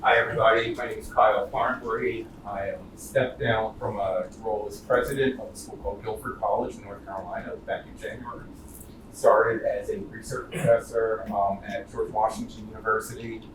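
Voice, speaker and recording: A man's voice: fairly low pitch, little pitch movement, a little fast. A man from Philadelphia introducing himself and his background to a group. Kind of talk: self-introduction at an event. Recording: distant, echoey, noisy room.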